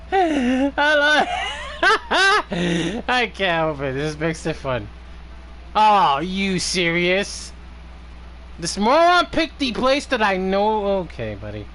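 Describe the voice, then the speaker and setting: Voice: medium pitch, big pitch swings, drawn-out words, pauses often, pushed and bright, a little hiss or hum. A game streamer chatting casually, in a teasing, sweary way. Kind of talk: casual live gaming chat.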